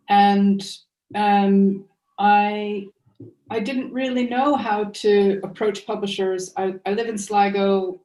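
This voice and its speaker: Echoey, distant American woman, very even pitch. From United States. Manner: halting, thoughtful. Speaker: novelist, panel guest. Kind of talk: unscripted panel talk.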